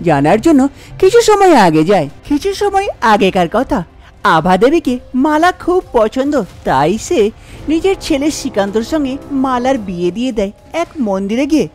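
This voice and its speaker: A woman narrates a scripted cartoon folk tale as storyteller. Her voice is high and very melodic, with music playing behind it. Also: big pitch swings, moderate pace, a little mellow.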